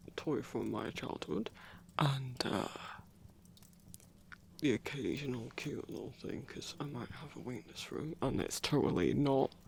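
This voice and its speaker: A man playing a grumpy but affectionate werewolf boyfriend in an intimate ASMR roleplay, talking in a halting, self-conscious way with a gravelly voice. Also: medium pitch. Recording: faint noise, older home recording.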